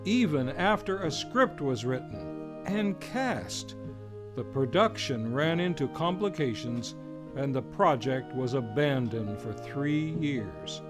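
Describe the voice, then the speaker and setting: A man's voice: medium pitch, lively intonation, slow, music in the background. An American man narrating as an old-time radio announcer, with a theatrical, grandiose delivery. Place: radio studio.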